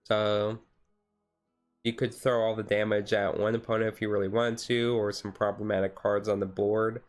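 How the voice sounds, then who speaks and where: A man streamer chatting casually in a relaxed, halting, rambling way, thinking aloud as he goes. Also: fairly low pitch. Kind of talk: relaxed casual chat about a card game, ASMR-style stream. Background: music playing softly behind the voice.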